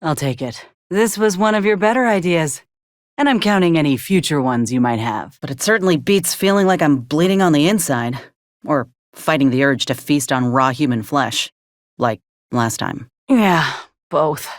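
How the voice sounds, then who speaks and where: A woman's voice: deep voice, animated, normal speed, dry recording. A woman voicing a tough, dry-witted soldier companion in a video game, blunt and wry with folksy phrasing. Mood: deadpan.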